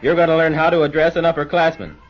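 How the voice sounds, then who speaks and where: An actor playing an arrogant, bullying cadet captain in an old-time radio serial, mocking and commanding. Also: male voice, a little high, fast talker, natural voice. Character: arrogant bullying older cadet captain, teenage military school tyrant. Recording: noisy, muffled old radio recording.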